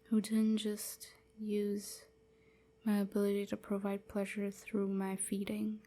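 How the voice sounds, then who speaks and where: Casting: woman to play submissive, wounded female vampire slave for whispered ASMR roleplay; very slow, even, breathy, halting delivery. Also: mid-range voice, a little hiss or hum. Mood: sad, resigned. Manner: slow, halting, hushed confiding.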